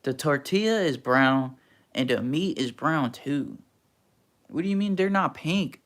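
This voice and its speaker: A man's voice: on the high side, animated, a little slow, fairly bright tone. A young man from Texas, hosting a casual livestream and answering chat in a laid-back, slangy, teasing way. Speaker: livestream host reading chat.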